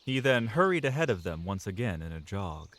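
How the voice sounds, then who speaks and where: A man narrating a fantasy audio drama in a measured, dramatic storytelling manner. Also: lowish voice, very animated, a bit bright, slight background noise.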